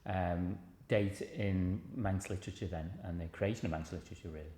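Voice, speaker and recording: Low-voiced male interviewer, echoey room. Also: steady tone, normal speed, warm tone. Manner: casual, rambling questions with fillers.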